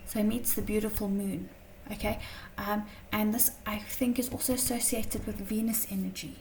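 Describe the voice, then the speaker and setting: A woman teaching a tarot lesson in a chatty, casual way, her voice slightly croaky from a long day of talking, often checking in with "okay?". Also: medium pitch, little pitch movement, moderate pace, a little mellow, far from the mic, a little hiss or hum, poor audio. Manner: chatty, many fillers and "okay?" check-ins.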